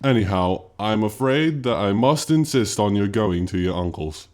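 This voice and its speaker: A man acting an indulgent king and father in a radio drama, gently admonishing and formal. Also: lowish voice, animated, moderate pace, close to an everyday voice. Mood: mild, firm.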